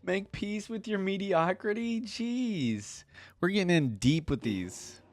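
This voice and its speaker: Casual man reacting, lively and dry. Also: high-pitched, slow, no echo. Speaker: reaction video host.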